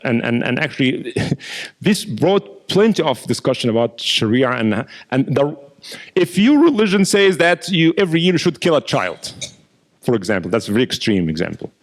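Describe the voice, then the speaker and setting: A man, an imam, giving a lecture with audience questions, explaining in a steady teaching manner and often repeating words as he thinks. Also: medium pitch, moderate pace. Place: mosque lecture hall.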